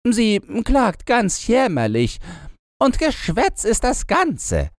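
Man putting on a high, cheeky young robber girl. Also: wildly swooping pitch, slow, no echo, studio quality, exaggerated voice. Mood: blunt, gossipy. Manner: blunt, teasing, bossy. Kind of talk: storybook character voice in an audiobook.

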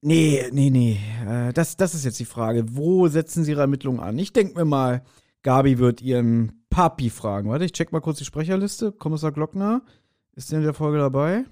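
A man's voice: on the high side, normal speed, mellow. A man co-hosting a podcast in casual chat, speculating in a playfully self-assured, teasing way. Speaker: podcast co-host. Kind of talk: casual chat, speculating about an audio drama.

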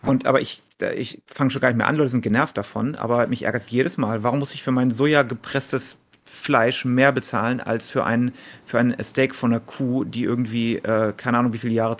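A man's voice: mid-range voice, steady tone, normal speed, few pauses. A man chats casually as a podcast co-host, arguing colloquially with English words mixed in. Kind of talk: casual discussion.

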